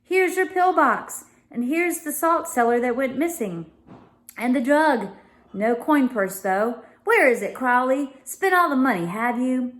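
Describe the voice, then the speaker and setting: A woman voicing an exasperated, bewildered upper-class father in a children's storybook, with a brisk, old-fashioned, blustering manner. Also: high-pitched, animated, voice pushed up. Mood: bewildered, accusing.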